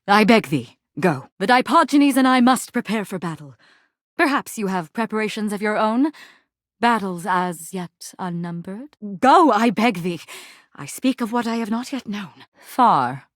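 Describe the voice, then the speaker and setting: A woman's voice: mid-range voice, expressive, a little slow, no echo, clean studio sound, close to an everyday voice. A woman voicing a proud, melodramatic warrior general in a video game, speaking in formal, theatrical, Shakespearean old English. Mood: urgent. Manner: grandiose archaic Shakespearean speech, formal and theatrical.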